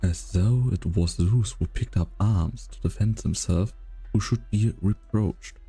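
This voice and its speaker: A man performing scripted dramatic narration as a brooding storyteller, with a gravelly, husky voice. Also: fairly low pitch, a little slow, dark tone, faint music behind. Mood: brooding, measured.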